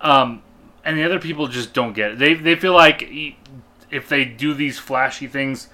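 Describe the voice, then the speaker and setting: A man's voice: mid-range voice, moderate pace, bright, forceful tone. A man co-hosting a casual film fan podcast, speaking in an opinionated, slangy way with some swearing. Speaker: podcast co-host.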